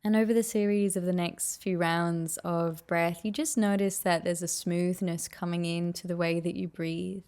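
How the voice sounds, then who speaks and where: A woman leading a guided meditation with soft, soothing, unhurried and gently encouraging instruction. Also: lowish voice, steady tone.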